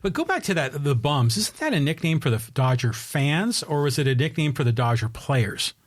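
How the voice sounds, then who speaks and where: A man's voice: a little high, very animated, normal speed. A man co-hosting a sports talk show, casual and joking, asking questions and reading listener notes. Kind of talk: sports talk radio chat.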